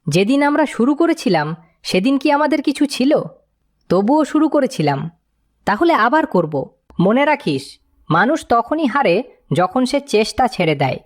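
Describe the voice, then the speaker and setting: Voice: medium pitch, big pitch swings, normal speed, pauses often, lightly acted. A performer voicing a kind, humble, encouraging village father in a cartoon moral story. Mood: resolute. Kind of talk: animated moral story dialogue.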